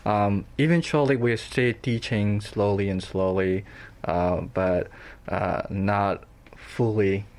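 A man's voice: lowish voice, fairly even intonation, slow pace, few pauses, darkish tone. A man from Burma with a Burmese accent, a parent answering interview questions thoughtfully, with frequent hesitations and restarts. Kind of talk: conversational interview.

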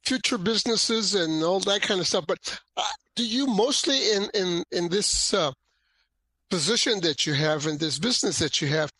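A co-host on a talk show, asking the guest a question while searching for words with many pauses. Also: mid-range voice, pushed and bright, dry recording, poor audio. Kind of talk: radio talk show interview.

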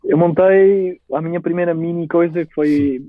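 A man's voice: on the high side, fairly even intonation, moderate pace, dry recording. A man in his twenties from Spain with a Spanish accent, speaking Portuguese as a guest entrepreneur, hesitant with many restarts. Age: 20s. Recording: tinny phone-like line.

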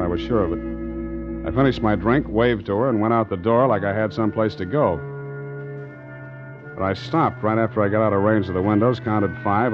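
Actor as a low-voiced, hard-boiled American sea captain. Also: male voice, close to an everyday voice. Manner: clipped, wry hard-boiled delivery. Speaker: actor narrating and playing the lead. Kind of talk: 1940s radio drama acting. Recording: dull old radio recording, organ music.

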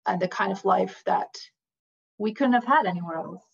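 A woman's voice: mid-range voice, normal speed, vocal fry. A woman born and raised in Iran, speaking as an interview guest, hesitant with many fillers and restarts. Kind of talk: conversational interview. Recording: dull lo-fi audio.

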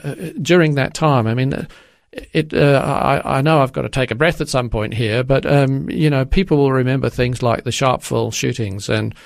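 An older man from Zimbabwe answering interview questions in a halting way, with many restarts and fillers. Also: mid-range voice, creaky, dry recording, clean studio sound. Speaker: guest.

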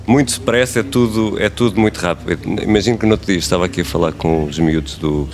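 A man's voice: deep voice, fast, close to an everyday voice. A man playing a deferential radio newsroom host, polite and stammering, with a European Portuguese accent, in a comedic radio drama. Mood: placating.

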